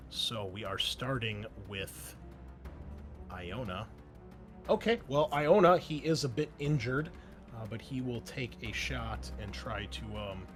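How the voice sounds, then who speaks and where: Casual man running a tabletop game, music behind. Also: fairly low pitch. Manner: casual, conversational, mild swearing. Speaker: game master. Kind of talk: narrating a tabletop roleplaying game.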